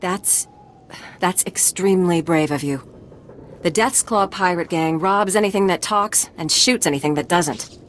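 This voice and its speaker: An actress playing a plague researcher in a video game, with a dry, ironic, measured delivery. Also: female voice, on the low side, a little hiss or hum, natural voice. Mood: wry. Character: wry female plague researcher. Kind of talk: game cutscene acting.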